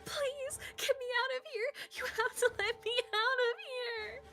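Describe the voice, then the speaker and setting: A woman's voice: medium pitch, big pitch swings, a little fast, natural voice. A woman voicing a hysterical, terrified young woman from a visual novel, desperate and trembling as she pleads and wails. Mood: desperate pleading. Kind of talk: visual novel character read aloud. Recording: loud music behind the voice.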